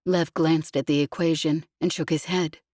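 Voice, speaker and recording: A woman narrating a scripted novel as an audiobook, in a measured storytelling manner. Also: low voice, fairly even intonation, a little mellow, no room sound, clean studio sound.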